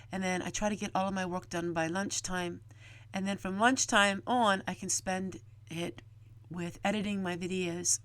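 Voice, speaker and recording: Casting: middle-aged woman, folksy homemaking vlog host, medium pitch, dry recording. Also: no room sound. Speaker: homesteading vlogger and host. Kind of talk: homemaking vlog narration, explaining chores and cooking.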